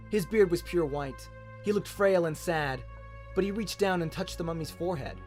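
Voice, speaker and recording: A man with an Australian accent giving a scripted, dramatic narration of a story. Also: fairly high pitch. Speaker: audiobook narrator.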